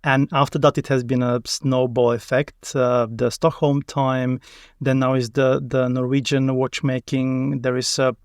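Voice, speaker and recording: A man's voice: mid-range voice, level tone, measured pace, smooth, dry recording, studio quality. A man from Finland, a Finnish speaker with a Finnish accent, answering as a podcast guest over a video call in careful English with some restarts. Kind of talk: casual interview chat.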